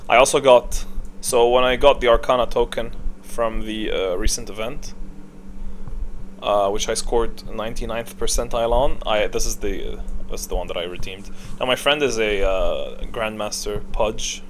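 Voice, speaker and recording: A man doing casual, unscripted tier-list commentary, opinionated and halting as he thinks aloud. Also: on the low side, a little hiss or hum. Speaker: video creator, gaming commentator.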